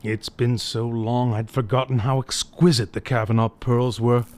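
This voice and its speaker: A middle-aged father , played by a male actor, with a mid-pitched voice. He is uneasy, earnest and businesslike, with the air of a suspicious man in a 1930s mystery. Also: flat delivery, moderate pace, darkish tone, close to an everyday voice. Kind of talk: audio re-creation of a 1930s mystery film.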